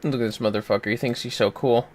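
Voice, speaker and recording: A man chatting casually while gaming on a livestream, playful, exclamatory and quick to swear. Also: mid-range voice, fairly even intonation. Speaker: gamer on a livestream. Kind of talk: casual gameplay chat.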